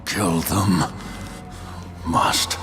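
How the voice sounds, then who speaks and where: An actor playing a crazed, bloodthirsty wounded warrior in a video game. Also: male voice, sits low, fairly even intonation, drawn-out words. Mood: feverish rage. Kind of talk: video game cutscene acting. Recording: long reverb under game music.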